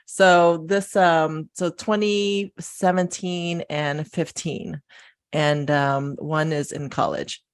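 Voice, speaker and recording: A woman in her forties from the USA, born in Taiwan, chatting as an interview guest over a video call. She talks excitedly and rambles, full of "like" and "you know.". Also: low-pitched, slow, smooth. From born in Taiwan, raised in Ohio, USA. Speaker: guest, food and postpartum author. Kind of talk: casual interview chat.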